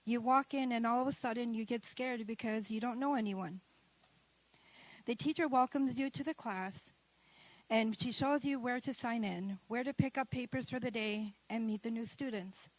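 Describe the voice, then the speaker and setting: Woman, higher pitch, dry close sound, reads her own essay at a podium, careful with small restarts. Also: moderate pace, no room sound, rough recording. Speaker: graduating adult student. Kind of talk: reading her own essay aloud at a ceremony. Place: ceremony hall.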